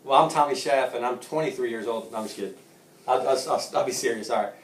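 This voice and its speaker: A man's voice: mid-range voice, fast, echoey room, far from the mic, poor audio. A man in his thirties from Savannah, a golfer answering interview questions in a joking, rambling way with lots of laughs and restarts. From Savannah, Georgia. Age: 30s.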